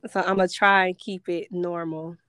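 A woman's voice: lowish voice, level tone, moderate pace, a bit bright, smooth, dry recording. A laid-back woman co-hosting a casual podcast, her voice a little nasal while she recovers from a sinus infection. She talks easily and uses plenty of slang. The sound is dry and close, with almost no room. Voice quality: slightly nasal. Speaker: podcast co-host. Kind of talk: casual chat.

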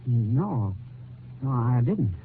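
A man's voice: medium pitch, very animated, drawn-out words, phone-quality sound. A man acting as a nervous, evasive young suspect who answers hesitantly and defensively in a 1950s radio crime drama. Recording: thin, noisy old radio broadcast recording.

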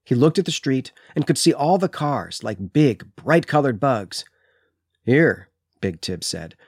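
Male literary podcaster, average pitch, explanatory and articulate, very dry sound. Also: very animated, unhurried, husky, dry recording. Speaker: podcast co-host. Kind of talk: literary discussion and reading aloud from a book.

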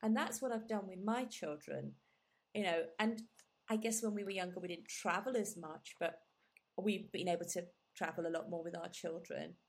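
A woman's voice: lowish voice, normal speed. Reflective woman podcast host. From England. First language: Gujarati. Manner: warm, reflective, many fillers and restarts. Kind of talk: casual conversational interview.

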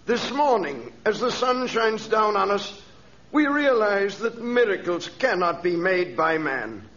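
An actor playing a gentle, devout missionary reverend with a solemn sermon delivery in 1930s radio drama style. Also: male voice, sits high, sing-song, measured pace, heavily acted. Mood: solemn preaching. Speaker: missionary preacher. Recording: dull old radio transcription.

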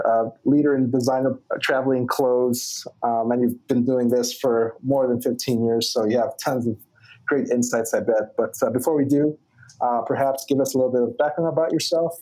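A man's voice: medium pitch, poor audio. A man hosting a podcast interview, asking questions with many "uh" fillers. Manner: relaxed, lots of "uh" fillers. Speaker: podcast host. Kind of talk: interview host.

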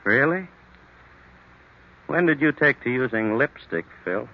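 A man playing an unhinged jealous husband in an old-time radio thriller, mocking and falsely calm with menace underneath. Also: mid-range voice, unhurried. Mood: mocking suspicion. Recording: muffled vintage radio recording.

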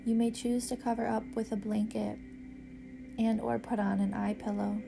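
A woman guiding a yoga nidra meditation in a measured, soothing, instructional way with pauses. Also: on the high side, level tone, gravelly, background noise. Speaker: yoga nidra meditation guide and podcast host. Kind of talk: guided meditation narration.

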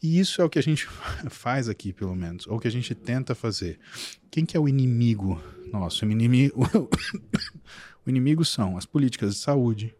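A man with a Brazilian Portuguese accent, a physician talking on a podcast in an animated, emphatic storytelling way. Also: mid-range voice, moderate pace. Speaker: physician guest. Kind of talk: casual podcast interview.

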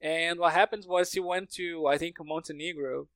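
Higher-voiced Brazilian man chatting casually. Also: fairly even intonation, moderate pace, a bit bright, dry recording. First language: Portuguese. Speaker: podcast speaker, language learner. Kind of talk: casual conversation.

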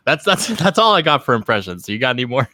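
A man's voice: medium pitch, very animated, quick pace, sharp tone, dry recording, clean studio sound. A young man co-hosting a podcast, laid-back, swearing freely and laughing often. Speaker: podcast co-host. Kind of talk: casual podcast chat.

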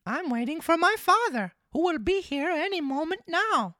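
A woman voicing a naive, excitable wooden marionette boy with an earnest, childlike delivery in a storybook reading. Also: extremely high pitch, normal speed, dry recording, studio quality, voice pushed up, heavily acted. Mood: innocent.

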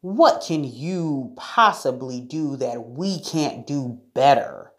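A woman acts out a bossy, ill-tempered lion who rules the jungle in a children's storybook. He snaps and jeers at a very slow, deliberate pace, with big swings in pitch. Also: animated, full character voice. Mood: scornful. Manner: roaring, snapping, jeering.

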